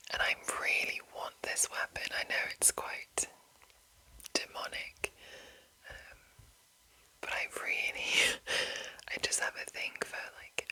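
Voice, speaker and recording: A woman whispering as an ASMR host, reading and commenting in a hushed, lingering manner with drawn-out phrases. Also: low-quality recording.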